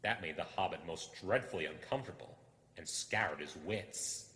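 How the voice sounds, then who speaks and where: A man reading a story aloud as dramatic narration, expressive and suspenseful. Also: sits low, normal speed. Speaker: amateur audiobook reader. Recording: echoey hall-like reverb.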